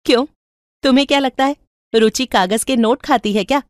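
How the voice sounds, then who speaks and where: High-pitched young rich woman acted by a female narrator, affectionate, insistent, animated, storybook cartoon, dry close sound. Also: brisk, dry recording, natural voice. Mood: playfully insistent. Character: Ruchi, rich, warm-hearted, bossy young woman friend.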